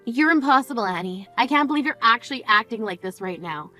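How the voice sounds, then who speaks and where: A high-voiced, blunt sister in mourning, played by a woman, scolding her sibling with cutting disbelief. Her tone stays sharp and exasperated, over faint background music. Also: expressive, fast talker. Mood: incredulous. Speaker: sister at a funeral. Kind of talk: scripted dramatic dialogue.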